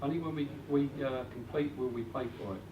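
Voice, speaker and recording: A man's voice: mid-range voice, fairly quick, dull audio. A man presenting at a live seminar, practical and matter-of-fact, with frequent fillers. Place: meeting room with an audience. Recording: echoey room, distant mic, faint hiss.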